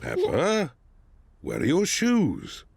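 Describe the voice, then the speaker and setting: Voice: mid-range voice, wildly swooping pitch, takes it slowly, vocal fry, husky, heavily acted. A man voicing a good-natured, jovial father pig in a children's cartoon. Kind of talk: cartoon voice acting.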